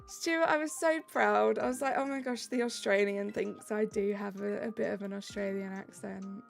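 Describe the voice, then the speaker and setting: A woman's voice: mid-range voice, steady tone, moderate pace, creaky. A British woman streaming a game, chatting casually and reading out her viewers' messages in a bubbly way. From Britain. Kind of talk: casual live-stream chat, reading chat aloud. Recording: light music behind the voice.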